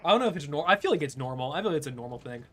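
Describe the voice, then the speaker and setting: A young man streaming a game, chatting casually in a laid-back, chuckling way with a fairly high-pitched voice. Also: expressive, very fast, pushed and bright. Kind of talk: casual live gameplay commentary.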